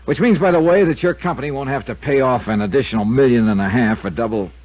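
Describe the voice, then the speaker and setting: An actor playing a brisk, dogged male insurance investigator with a clipped, hard-boiled delivery in a 1950s radio detective drama. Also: mid-range voice, dry recording, natural voice. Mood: urgent. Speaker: radio actor, lead and narrator. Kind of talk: 1950s radio detective drama, acting and first-person narration. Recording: dull vintage radio recording.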